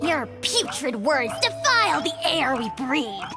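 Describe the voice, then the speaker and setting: A tiny, self-important dragon in a video game. The voice is extremely high and lively, with haughty, grandiose scorn. Music plays clearly behind it. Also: measured pace, heavily acted. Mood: indignant, haughty. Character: tiny pompous, bratty dragon lord. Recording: loud background music over voice.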